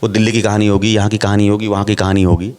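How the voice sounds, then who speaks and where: A middle-aged man, an actor, talking in a relaxed interview and laying out his points with rhetorical questions. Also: fairly low pitch, racing, clean tone. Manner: explains at length with rhetorical questions.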